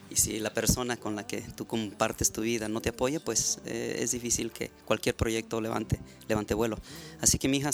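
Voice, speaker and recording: A middle-aged man with a Mexican accent talks earnestly as a guest on a live radio show. His voice sits at an ordinary pitch, warm-hearted and devotional in manner. Faint music plays underneath. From Mexico, Baja California.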